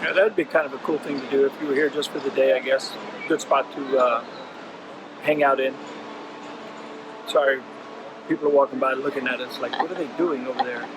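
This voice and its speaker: A man's voice: mid-range voice, fairly even intonation, brisk, long pauses. A man co-hosting an RV vlog, talking in a laid-back, casual way with short replies. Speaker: co-host, vlogger's partner. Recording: noisy background hum.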